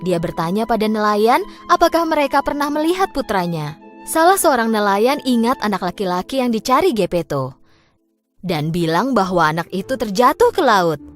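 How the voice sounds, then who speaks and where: A woman narrating a scripted fairy tale for children in an expressive, clearly phrased way. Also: mid-range voice, moderate pace, faint music behind. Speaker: storyteller.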